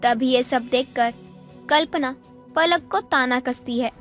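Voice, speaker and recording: A woman's voice: high voice, slow, a bit bright, soft background music. A woman narrating a scripted moral story.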